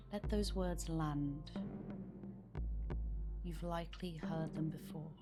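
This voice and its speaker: Slow, low-voiced woman guiding meditation. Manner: soft, soothing, breathy at phrase ends. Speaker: meditation guide. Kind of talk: guided meditation. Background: background meditation music.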